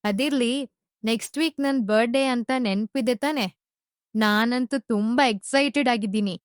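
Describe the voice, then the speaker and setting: A woman plays a young, demanding girlfriend in a short drama. Her Indian-accented voice is on the high side, anxious and reproachful, in a close, dry recording. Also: measured pace, dry recording, lightly acted. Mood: demanding, displeased. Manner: reproachful, questioning. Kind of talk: short-film drama dialogue.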